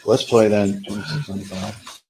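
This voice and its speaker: Male trial lawyer, lowish voice, halting direct closing argument, faint room noise behind. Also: steady tone, a little mellow, rough recording. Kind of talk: courtroom argument to a jury. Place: courtroom.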